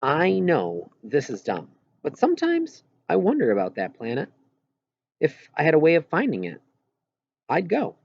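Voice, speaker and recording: A man reading an audiobook, voicing an earnest boy who eagerly explains things and has a quietly wistful streak. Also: fairly high pitch, wildly swooping pitch, normal speed, creaky, no room sound, lo-fi sound, voice pushed up, full character voice. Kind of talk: audiobook character dialogue.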